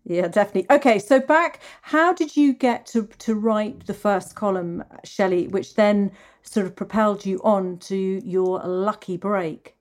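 A woman's voice: medium pitch, measured pace, few pauses, darkish tone. A woman interviewing in a casual, conversational way, encouraging and responsive to her guest.

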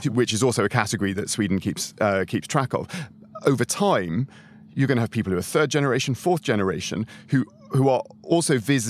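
A man's voice: fairly low pitch, slight background noise. A man discussing a social issue in an interview, reasoning aloud with some fillers.

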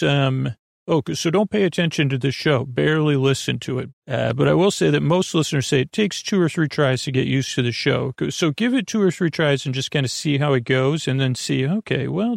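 Creaky-voiced man rambling a bedtime podcast. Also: mid-range voice, normal speed, dry recording, studio quality. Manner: meandering, mumbling, often stumbling over words and trailing off; gentle, lulling. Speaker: podcast host.

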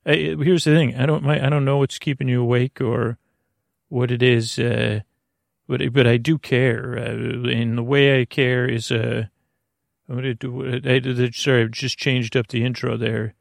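A man hosting a bedtime podcast in a creaky, soothing voice, meandering and halting as he wanders off on tangents. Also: medium pitch, flat delivery, dry recording, studio quality. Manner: meandering, halting, self-interrupting, full of tangents; soothing, lulling delivery. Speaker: podcast host. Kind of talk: rambling bedtime monologue to help listeners sleep.

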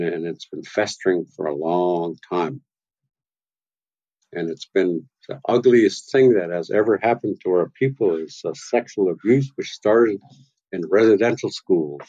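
An older man, an elder and carver, speaking as an interview guest in a grave, measured way. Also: low-pitched, warm tone, dull audio. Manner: grave, measured, plain-spoken. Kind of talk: slow reflective storytelling.

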